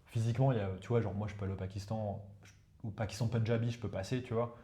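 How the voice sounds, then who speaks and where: A man from the Ganges plain speaks French, his tongue being Hindi. He explains at a rapid clip in a mellow, somewhat dark voice, dropping "you see" into his casual talk. The recording has a noticeable roomy echo. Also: on the low side, steady tone. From India, Ganges plain. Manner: talks fast and explanatory, tags sentences with "you see". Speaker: guest expert, professor.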